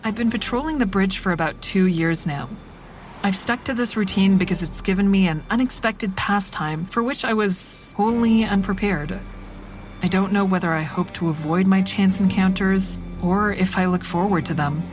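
A woman playing a somber, introspective bridge patroller, narrating an audio drama in a measured way. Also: mid-range voice, a little hiss or hum, lightly acted. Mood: somber, reflective. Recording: music under the voice. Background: music.

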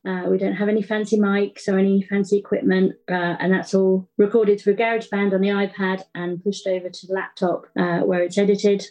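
A woman's voice: medium pitch, level tone, brisk, few pauses, darkish tone, poor audio. A woman speaking as a podcast guest in casual interview chat. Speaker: podcast guest, indie audio drama creator.

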